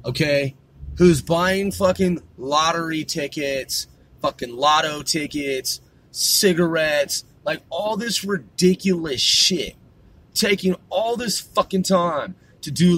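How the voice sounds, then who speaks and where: A man's voice: on the high side, pushed and bright, slight background noise, low-quality recording. A man hosting a podcast, ranting and swearing nonstop while he drives. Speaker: podcast host. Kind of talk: profane rant while driving. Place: in a car on the road.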